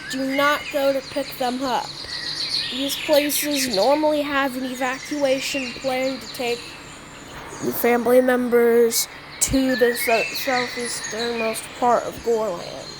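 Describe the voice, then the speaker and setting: A woman improvising a mock emergency broadcast announcement in a halting way, often stumbling and repeating words. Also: high voice. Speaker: announcer of a fictional emergency alert. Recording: noisy homemade recording, birdsong behind.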